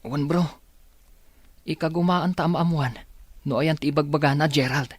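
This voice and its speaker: A man's voice: on the high side, normal speed, slightly rough, dry recording, clean studio sound. A man acting a lovesick, anxious young male student in an Ilocano radio drama. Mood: pleading, nervous.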